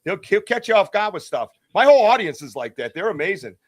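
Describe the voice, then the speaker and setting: Lively Boston man joking around. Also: sits high, fast talker. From south of Boston. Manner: animated, jokey, laughs often. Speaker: host. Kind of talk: casual live-stream banter.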